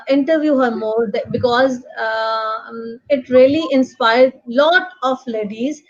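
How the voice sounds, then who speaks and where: Pakistani woman host, highish voice, repeats herself and gushes, muffled lofi call sound. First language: not English. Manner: halting, repetitive, gushing. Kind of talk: online talk show, effusive informal hosting. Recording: dull, lo-fi online call audio.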